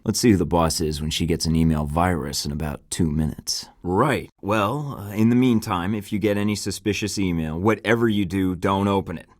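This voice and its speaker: A man's voice: deep voice, moderate pace, few pauses, no room sound. An actor playing a cocky young male secret agent who trades dry, sarcastic quips, recorded close in a studio booth for a video game. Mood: wry. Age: young adult. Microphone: close studio mic.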